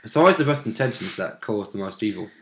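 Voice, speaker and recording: A man's voice: fairly low pitch, expressive, quick pace. A man chatting casually as a player in a tabletop roleplaying game, commenting on the story. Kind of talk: casual chat.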